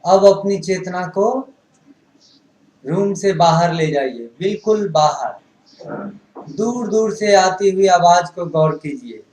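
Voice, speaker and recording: Male yoga instructor, fairly high pitch, very unhurried delivery of short guided-meditation cues. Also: expressive, a little mellow. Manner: instructing in short, repetitive phrases. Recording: roomy, echoing sound.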